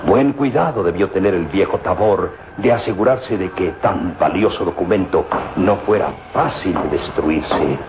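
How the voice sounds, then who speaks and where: An actor playing an earnest, anxious scientist father in a radio serial, with a dramatic, halting delivery that repeats words. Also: deep voice, muffled recording, natural voice. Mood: urgent, hopeful. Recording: noisy, band-limited old radio drama recording.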